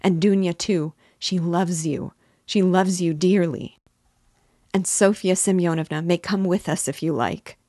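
A woman's voice: fairly low pitch, measured pace, dark tone, dry recording, studio quality. A woman voicing an anxious, tearful middle-aged mother who pleads emotionally with her son. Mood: pleading. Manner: pleading, emotional, breaking into sobs. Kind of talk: audiobook character reading.

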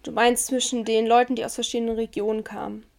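Woman with a gravelly, creaky voice. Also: on the high side, level tone. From Germany. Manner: thoughtful, measured, searching for words. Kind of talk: reflective conversational chat.

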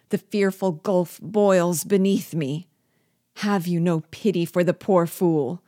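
A woman's voice: deep voice, a little mellow, no echo, clean studio sound. A woman voicing a spiteful male dwarf jester in an audiobook, menacing at first and then begging frantically for his life. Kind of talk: audiobook reading.

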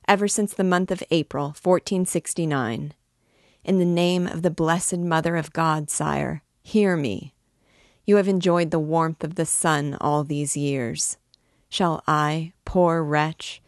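A man's voice: high-pitched, no echo, clean studio sound, pitched above the performer's own voice. A woman voicing a desperate male prisoner, begging the king for mercy in tearful pleas.

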